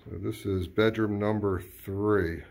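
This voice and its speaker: A man, a real estate agent, walking through and narrating a house tour in a relaxed, informal way. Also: fairly low pitch, measured pace, gravelly. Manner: relaxed, informal, with small self-corrections. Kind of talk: casual walkthrough narration. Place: empty house, bare rooms. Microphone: handheld phone camera. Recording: echoey empty rooms, faint background noise.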